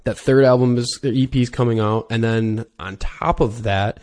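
A man's voice: mid-range voice, fairly even intonation, moderate pace, darkish tone. A man with a Wisconsin accent hosting a casual podcast interview, chatty and rambling. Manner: rambling, chatty, self-deprecating. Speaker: podcast host.

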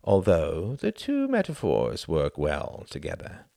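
A man voicing a cool, bored, dry-witted gentleman who speaks languidly. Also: deep voice, huge pitch swings, a little slow, gravelly, no room sound, full character voice. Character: cool, wry male former professor's assistant.

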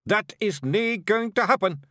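A man voicing a tiny, commanding male fairy-folk leader with a forceful Scottish accent in a storybook. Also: high-pitched, sing-song, normal speed, no room sound, studio quality, voice pushed up. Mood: fierce. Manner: forceful, urgent, plain-spoken. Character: tiny gruff male Feegle leader, commanding, fiercely protective.

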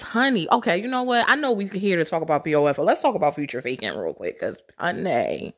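Sassy woman with a low voice. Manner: candid, sassy, swears freely, laughs often; addresses listeners as sisters and girl. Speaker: podcast host. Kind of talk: casual storytelling podcast.

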